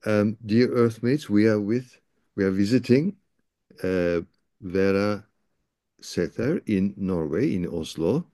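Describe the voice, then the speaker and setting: A man hosting a poetry session, speaking haltingly with stammered hesitations. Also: fairly low pitch, mellow, dry recording, studio quality. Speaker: host.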